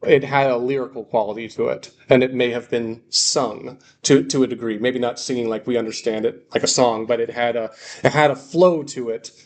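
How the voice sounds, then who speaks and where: A man with a mid-pitched voice teaches a class in an informal lecture style. He is reassuring, stresses key points by repeating them, and keeps checking that listeners follow. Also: fairly even intonation, normal speed. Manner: reassuring, often checks understanding with "okay" and "right". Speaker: language teacher.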